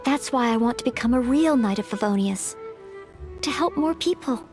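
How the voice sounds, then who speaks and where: Earnest high-voiced young maid. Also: female voice, expressive. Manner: polite, earnest, gentle, measured. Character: earnest, modest young maid training for knighthood. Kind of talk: video game voice acting. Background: game music.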